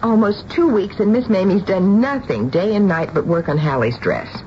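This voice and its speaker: An actress playing a worried, tender-hearted wife who also narrates, earnest and concerned, in a radio drama. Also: female voice, mid-range voice, expressive, moderate pace, lightly acted. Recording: dull, hissy old radio broadcast.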